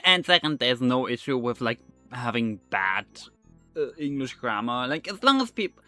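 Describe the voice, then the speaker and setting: A man's voice: mid-range voice, sing-song, normal speed, pushed and bright, faint music behind. A young man whose first language is German, speaking English with a German accent while he streams and chats casually through a game, rambling with many fillers and restarts. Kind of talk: live gameplay commentary.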